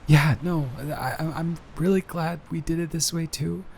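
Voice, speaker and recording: A man playing a sweet, nervous boyfriend in an improvised intimate roleplay audio, speaking in a halting, earnest, tender way. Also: on the high side, fairly even intonation, vocal fry, a little mellow, a little hiss or hum. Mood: affectionate, relaxed. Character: sweet, smitten, nervous boyfriend proposing to partner.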